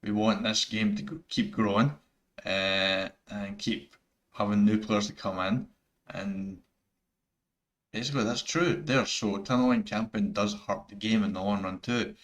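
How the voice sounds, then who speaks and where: A man's voice: fairly low pitch, fairly even intonation, measured pace, fairly bright tone. A man with a thick Scottish accent, giving rambling, earnest opinions over gameplay footage, full of fillers and restarts. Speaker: gaming YouTuber.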